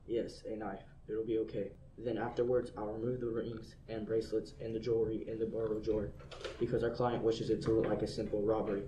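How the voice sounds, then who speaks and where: A man's voice: fairly low pitch, level tone, fairly quick, mellow, distant mic, slight background noise, lightly acted, rough recording. A boy playing a cold, matter-of-fact hired killer on the phone. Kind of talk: student radio play acting.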